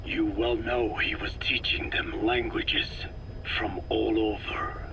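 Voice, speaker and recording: An actor playing a grave, learned old parasite expert in a video game, with a breathy rasp and formal, measured phrasing. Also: male voice, on the low side, level tone, in a whisper, poor audio. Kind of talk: dramatic video game dialogue.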